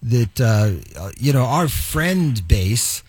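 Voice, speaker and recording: A man with a husky voice, recorded close and dry, hosts a radio interview. His delivery is conversational, wandering through halting phrases as he puts his thoughts together. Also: mid-range voice, very animated, a little mellow, no room sound.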